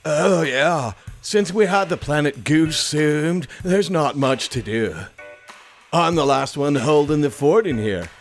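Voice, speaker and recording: Man playing idle compliant writer, slow casual delivery, higher male voice, audio drama, faint music behind. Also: animated. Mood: nonchalant, compliant. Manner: casual, nonchalant. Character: laid-back, idle male writer, easily pushed around.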